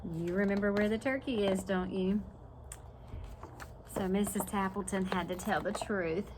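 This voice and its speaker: A woman reads a children's picture book aloud like a preschool teacher, in an animated way, pausing to put questions to young listeners. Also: medium pitch, slight background noise.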